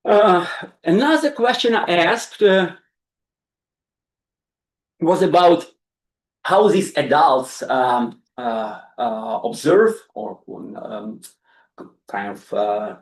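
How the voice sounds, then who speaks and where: A man giving an academic lecture as guest speaker, halting, with many fillers and repeated words. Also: a little high, lively intonation. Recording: roomy, distant lecture-hall sound.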